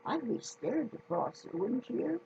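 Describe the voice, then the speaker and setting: A woman's voice: on the high side, big pitch swings, a little slow, mellow, muffled recording, slight background noise, pitched above the performer's own voice. A woman voicing a cautious ten-year-old frontier boy, a loyal friend in a children's storybook. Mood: worried.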